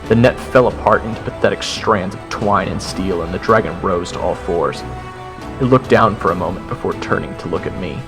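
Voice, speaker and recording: A man's voice: deep voice, brisk. A man playing a nervous young swordsman, narrating a fantasy encounter in the first person with earnest, dramatic delivery. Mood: wry. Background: fantasy game-style music.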